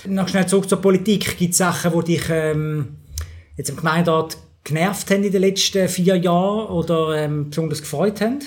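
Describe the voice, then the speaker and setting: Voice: medium pitch, steady tone, normal speed. An interviewer with a mid-range voice, leading a casual chat. The questions come loose and playful, with light teasing thrown in.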